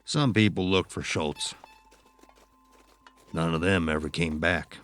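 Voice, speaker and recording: Cynical low-voiced western outlaw. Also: male voice, slow pace, a bit bright, soft background music. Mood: grim, cynical. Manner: folksy, rough frontier speech. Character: cynical, foul-mouthed male outlaw in a western. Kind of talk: audio horror drama acting.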